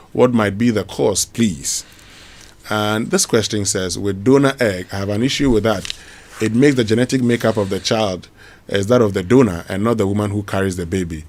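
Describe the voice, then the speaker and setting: A man with a Ghanaian English accent hosting a live morning radio show, reading sponsor ads briskly and then listener questions with fillers. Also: on the low side. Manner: brisk promotional delivery with fillers.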